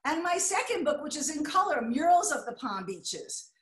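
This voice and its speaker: An older American woman giving a chatty, excitable slideshow lecture on a video call, now and then apologizing when the slides skip. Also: high-pitched, moderate pace. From United States. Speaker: art deco lecturer. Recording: echoey room.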